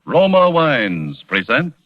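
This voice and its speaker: American male sponsor announcer, 1940s radio style, expressive swings in pitch, muffled archival sound. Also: slow. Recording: dull 1940s radio recording.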